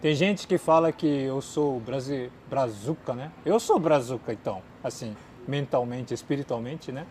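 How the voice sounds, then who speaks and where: A Japanese-born man speaking as a second language, casually answering viewer questions with lots of fillers, swinging from lively to quiet mumbling. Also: mid-range voice, normal speed, hardly any pauses, a little hiss or hum. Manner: chatty, many fillers, drifts between lively and mumbling. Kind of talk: casual chat. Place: outdoors in a city.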